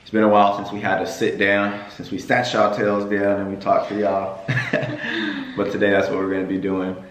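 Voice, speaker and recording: A young man with a hoarse, worn-out voice chatting casually on his family vlog, with a playful preacher-like cadence. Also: on the low side, monotone, moderate pace, echoey room, slight background noise, poor audio. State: hoarse from screaming at games. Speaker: vlogger.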